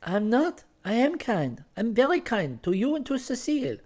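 A man's voice: very high voice, very animated, normal speed, darkish tone, pitched above the performer's own voice. A male narrator voicing a poised young Frenchwoman, teasing, candid and pointed, in a storybook reading. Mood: teasing, reproachful. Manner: pointed questioning and scolding, sprinkled with French exclamations.

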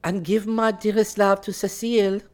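A man's voice: medium pitch, lively intonation, normal speed, pitched above the performer's own voice, lightly acted. A man voicing a teasing young Parisian woman, sly and merry. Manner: lightly mocking, airy.

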